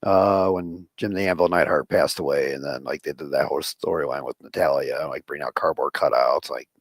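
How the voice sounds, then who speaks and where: Male co-host, low gravelly voice, opinionated wrestling chatter, jokey. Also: steady tone, no room sound, clean studio sound. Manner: opinionated, quick jokey quips. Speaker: podcast co-host. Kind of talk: casual wrestling chat.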